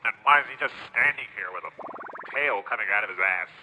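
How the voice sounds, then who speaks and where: A man's voice: fairly low pitch, animated, fast, pushed and bright, slight background noise, rough recording. A man playing an impatient, argumentative security guard in an audio drama. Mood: suspicious. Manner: blunt, argumentative.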